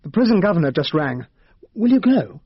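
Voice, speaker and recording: An actor playing a decent, earnest gentleman sidekick in a radio drama. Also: a little high, big pitch swings, fairly quick, slightly rough, no echo. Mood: concerned, wry. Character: decent, easily astonished English gentleman, detective's loyal companion. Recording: dull, muffled radio drama recording.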